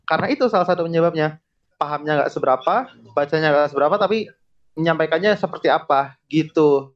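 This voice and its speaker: A man's voice: a little high, fast. A young man, a podcast guest, explaining in casual slang and chuckling often. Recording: dull lo-fi podcast recording.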